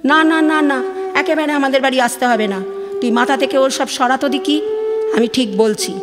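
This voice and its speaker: A woman's voice: high-pitched, moderate pace, natural voice. A woman acting an anxious, nagging village mother on the phone in an audio drama, with colloquial West Bengal speech. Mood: disapproving, insistent. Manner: colloquial, insistent, questioning. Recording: long studio reverb, loud music underneath. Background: loud music.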